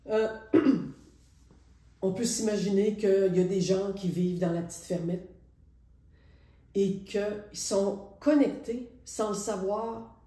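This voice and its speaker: A woman's voice: medium pitch, mellow. Reflective Quebec French woman painter, roomy sound. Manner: reflective, hesitant, with many pauses. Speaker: painter presenting her artwork. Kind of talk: casual spoken art presentation. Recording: roomy echo.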